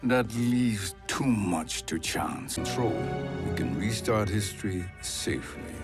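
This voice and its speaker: Calculating game sorcerer: very unhurried, persuasive, fairly deep, with music clearly behind. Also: male voice, expressive. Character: scheming male sorcerer, smooth-talking, self-serving villain. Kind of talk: dramatic video game acting.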